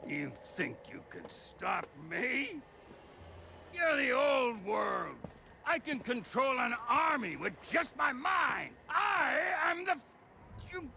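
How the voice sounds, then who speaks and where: Game villain, defiant, grandiose ranting, slow delivery, wildly swooping pitch, noisy backdrop. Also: male voice, big pitch swings, vocal fry, pushed and bright, heavily acted. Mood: defiant, raging. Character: megalomaniac cornered villain, defiant man. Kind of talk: dramatic video game cutscene acting.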